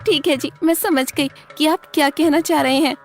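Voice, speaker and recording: A woman's voice: high voice, steady tone, moderate pace, soft background music, pitched above the performer's own voice. A woman voicing a dutiful, curious village wife in a cartoon story, with an eager, deferential manner. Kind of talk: Hindi cartoon story dialogue.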